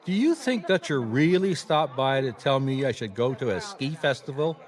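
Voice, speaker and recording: An actor playing a wry, easygoing private detective in a radio drama, with a dry, teasing delivery. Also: male voice, medium pitch, expressive, normal speed, some chatter behind, lightly acted. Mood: amused.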